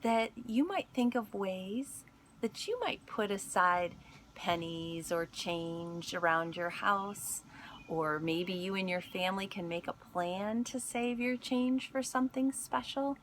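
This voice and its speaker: A woman reading a picture book aloud in an expressive storytelling manner, pausing to speak to young listeners. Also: mid-range voice, a little hiss or hum. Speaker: school instructional coach reading aloud.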